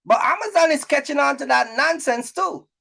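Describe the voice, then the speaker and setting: A man's voice: very high-pitched, expressive, moderate pace, gravelly, pushed and bright. Middle-aged man, emphatic casual vlog talk. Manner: repetitive, emphatic, checks in with listeners. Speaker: trucking business owner and content creator. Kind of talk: casual vlog monologue to viewers.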